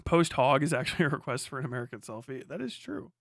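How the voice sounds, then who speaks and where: A man's voice: medium pitch, sing-song, fast, creaky, no room sound, studio quality. A man hosting a casual livestream, giving blunt, profane, slangy political commentary and talking back to chat. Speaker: livestream host.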